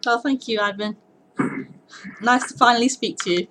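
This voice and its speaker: A woman hosting a live-streamed non-duality sitting, speaking earnestly in halting phrases, often repeating words and breaking into laughter. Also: a little high, steady tone, a bit bright. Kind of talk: live-streamed spiritual talk and Q&A.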